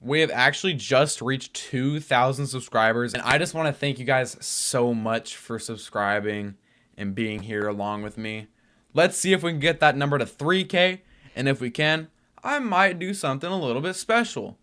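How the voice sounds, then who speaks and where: A man's voice: medium pitch, normal speed, a bit bright. A man hosting a reaction video, talking casually in informal, slangy speech. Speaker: YouTube reaction host.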